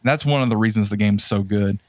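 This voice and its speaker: A man's voice: fairly low pitch, fairly quick, no echo. A man chatting casually as a podcast host, rambling and enthusiastic about his subject. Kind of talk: casual chat about retro games and movies.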